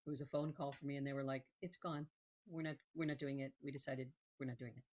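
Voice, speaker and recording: A woman hosting a casual interview, effusive and halting, often repeating her phrases. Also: very deep voice, fairly even intonation, darkish tone. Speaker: interviewer, host.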